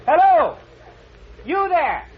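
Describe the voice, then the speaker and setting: An actor playing a timid, devoted youth, a lover and former soldier, in 1950s radio drama. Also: male voice, extremely high pitch, very animated, slow pace, full character voice. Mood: uneasy. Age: young adult. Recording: muffled 1950s radio recording, background crowd sounds.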